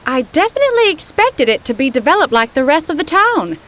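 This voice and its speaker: A determined young adventurer, played by a woman in a comic audio drama. Her voice is high and very animated, and she comes across as brisk and assertive. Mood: purposeful. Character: plucky, determined young female time-travelling adventurer.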